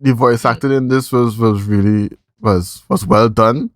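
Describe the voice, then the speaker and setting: A man's voice: medium pitch, fairly even intonation, unhurried, no room sound. A man with a Trinidadian accent hosting a casual movie review chat, speaking in slangy, repetitive phrases. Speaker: podcast host.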